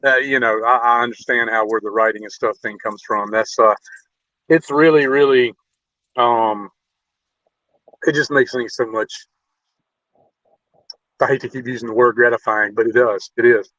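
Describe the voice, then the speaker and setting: A man hosting a casual interview, speaking informally in halting, loosely phrased sentences. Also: mid-range voice, fairly even intonation, pushed and bright, no echo, muffled recording. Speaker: interview show host, musician.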